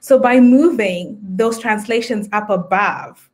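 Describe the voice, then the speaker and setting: A woman's voice: mid-range voice, slow. A woman from Zimbabwe with a Zimbabwean accent, speaking as a guest in a casual video-call interview, thoughtful with fillers and restarts. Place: indoor room at home.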